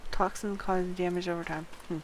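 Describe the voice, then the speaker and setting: A woman's voice: lowish voice, steady tone, a little fast, a little hiss or hum, low-quality recording. A woman streaming a game walkthrough, reading quest text aloud and casually muttering to herself as she plays.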